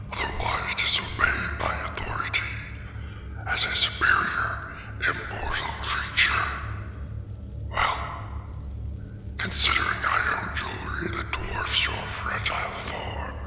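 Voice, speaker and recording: A man voicing a haughty, possessive ancient gold dragon in a formal, menacing, breathy growl for a fantasy ASMR roleplay. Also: bright, forceful tone. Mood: scornful, threatening. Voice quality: very deep, gravelly, breathy growl. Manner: pompous, slow, menacing, formal. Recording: echoing cavern-like reverb, background music. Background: dragon lair ambience.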